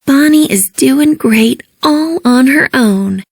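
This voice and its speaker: A woman voicing a small, anxious and devoted handmade toy in a children's read-along, earnest and excitable. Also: sits high, takes it slowly, husky, no echo, clean studio sound, exaggerated voice. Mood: proud.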